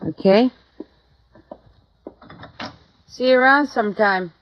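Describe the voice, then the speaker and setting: A woman's voice: fairly high pitch, a little fast, natural voice. An actress playing a guarded, world-weary widow, down on her luck and defiant, in a 1950s radio noir drama. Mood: flat, subdued. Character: bitter, tough, down-and-out young widow. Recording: dull, dry vintage radio recording.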